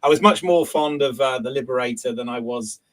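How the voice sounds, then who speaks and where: Guy with a cold, a bit hoarse, chatting on a stream, lots of ums. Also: medium pitch, brisk. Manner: relaxed, hesitant, many ums. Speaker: guest. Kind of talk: casual chat.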